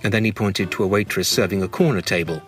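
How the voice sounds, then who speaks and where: A British man with a slightly low voice narrates a comic memoir. He is wry and mock-grandiose, relishing every ornate phrase, with music playing behind him. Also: fairly even intonation, fast talker, a bit bright. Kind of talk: scripted humorous memoir narration.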